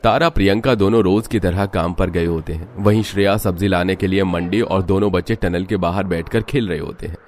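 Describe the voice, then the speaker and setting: Casting: male narrator for cartoon tales, lowish pitch, dramatic scripted delivery. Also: steady tone, fairly quick, few pauses, a little mellow, slight background noise.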